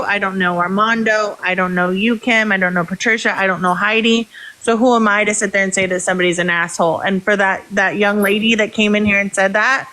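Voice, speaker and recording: A woman joining a livestream as a guest, speaking in a calm, reflective way about how people should talk to each other. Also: mid-range voice, rough recording. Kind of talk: earnest livestream discussion.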